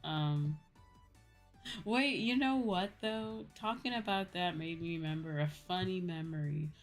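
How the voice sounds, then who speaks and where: A young adult woman streamer telling personal stories in a casual, animated, giggly chat style. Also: fairly low pitch, unhurried. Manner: animated retelling with acted-out quotes and frequent giggles. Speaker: streamer, VTuber host. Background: background music.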